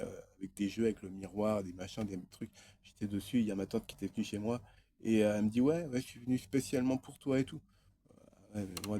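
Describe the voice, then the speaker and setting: Man, 30s, from France; mellow, lowish voice; hesitant vlog monologue with constant fillers; faint noise behind. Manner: hesitant, many fillers and restarts. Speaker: vlogger. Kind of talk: rambling personal monologue to camera.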